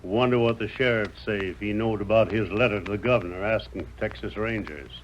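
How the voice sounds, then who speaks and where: An actor playing a scheming, commanding boss of horse thieves in an old-time radio Western, with a folksy American cowboy drawl. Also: male voice, on the low side, brisk, lightly acted. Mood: sly, scheming. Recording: muffled, noisy old radio recording.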